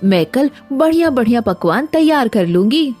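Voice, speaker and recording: Woman acting a high-voiced, fretful cartoon village wife. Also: sing-song, moderate pace, a little mellow, soft background music. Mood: eager. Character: dutiful, fretful young wife of a village woodcutter. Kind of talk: Hindi cartoon story dialogue.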